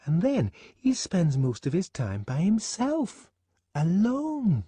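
A man's voice: a little high, sing-song, slow, darkish tone, dry recording, pitched above the performer's own voice, exaggerated voice. A man voicing a prim, gossipy, easily shocked young woman in an audiobook. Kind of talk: audiobook character acting.

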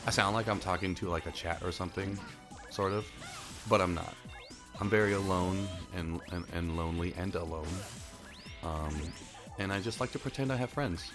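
A man's voice: low voice, moderate pace, over music. A young man whose first language is Japanese, chatting casually and mocking himself while he plays a puzzle game for his channel. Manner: rambling, self-mocking, chatty. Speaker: gaming channel host. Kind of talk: casual gameplay commentary.

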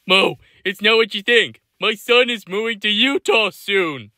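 Man acting, casual middle-aged cartoon dad, highish voice, dry close sound, beer-loving slacker. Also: unhurried, sharp tone, no room sound, exaggerated voice. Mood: reassuring. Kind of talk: fan-made cartoon voice acting.

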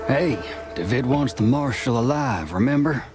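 An animated male actor's voice for a nervy outlaw sidekick in a video game. He pleads at a medium pitch and slow pace, over music. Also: lightly acted. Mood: urgent. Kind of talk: video game western acting. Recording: music behind the voice.

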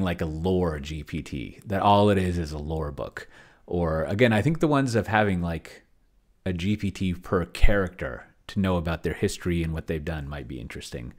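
Guy, really deep voice, middle aged, rambling casual commentary, thinks out loud, close desk mic. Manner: thinks aloud, trailing off. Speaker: solo role-player and streamer demonstrating AI game mastering. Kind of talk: casual live commentary while typing and reading aloud. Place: small indoor room. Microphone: close desk microphone. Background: computer keyboard typing.

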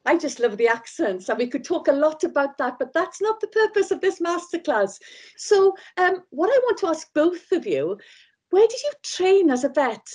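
Chatty middle-aged woman host, bright high voice with wide swings, speaking over a video call. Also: normal speed. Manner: lively, chatty, laughs easily. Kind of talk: bilingual interview hosting. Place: home room with bookshelves.

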